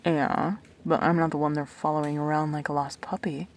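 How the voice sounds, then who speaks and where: A woman's voice: flat delivery, brisk. A woman acting a wry, blunt but protective immortal best friend in an audio drama, with a dry delivery. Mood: teasing, encouraging. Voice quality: low, husky.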